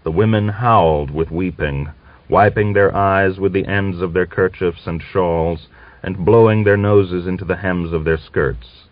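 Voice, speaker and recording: A man's voice: sits low, fairly even intonation, unhurried, no room sound. A man reading a novel aloud as a scripted audiobook narrator. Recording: dull lo-fi audiobook recording.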